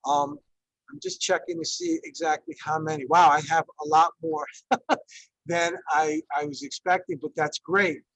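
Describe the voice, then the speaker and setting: Man in his fifties, from Ecuador, high voice, gravelly, dry close sound, video call, chatty teacher full of fillers. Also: lively intonation, normal speed, a bit bright, no echo, low-quality recording. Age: 50s. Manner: conversational, many fillers, frequent check-ins. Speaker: Spanish teacher leading a workshop. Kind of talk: online workshop presentation.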